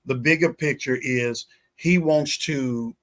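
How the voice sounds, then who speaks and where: A man's voice: mid-range voice, slow, a bit bright. A man in a conversational political talk show discussion, speaking emphatically and repeating phrases for weight.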